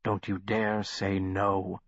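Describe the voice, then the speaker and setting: A man's voice: sits low, flat delivery, slow pace, dry recording. An imperious, curt scientist, as a male narrator puts him on, clipped and indignant. Dry, slightly dull audiobook sound. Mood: impatient.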